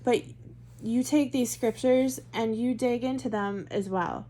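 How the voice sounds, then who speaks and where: A woman's voice: fairly high pitch, unhurried, mellow. A Midwestern American woman leading a Bible study, talking casually and thinking aloud with fillers and self-corrections. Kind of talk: casual teaching talk to camera.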